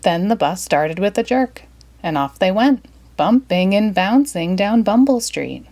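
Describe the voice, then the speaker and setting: A woman reading a children's picture book aloud in an expressive, dramatic storytelling style. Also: medium pitch, unhurried. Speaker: storybook reader.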